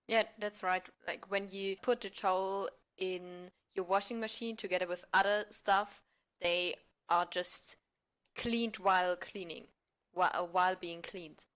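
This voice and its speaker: A woman speaking as a podcast guest, improvising role-play and mock pitches in an amateur theatre manner. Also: fairly even intonation, unhurried, a bit bright.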